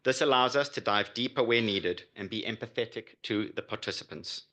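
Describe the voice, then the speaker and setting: A man delivering a prepared pitch as a startup founder. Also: fairly quick, sharp tone. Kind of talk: prepared business pitch presentation.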